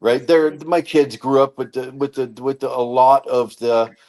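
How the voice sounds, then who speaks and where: A man's voice: medium pitch, fairly even intonation, normal speed, darkish tone. A middle-aged man in casual chat who rambles, swears and restarts his sentences. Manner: rambling, profane, trails off and restarts.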